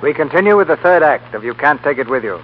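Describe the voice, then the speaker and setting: A man's voice: fairly high pitch, animated, quick pace. A man hosting and narrating a 1930s radio drama from a script. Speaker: host and narrator. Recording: muffled 1930s radio broadcast. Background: music.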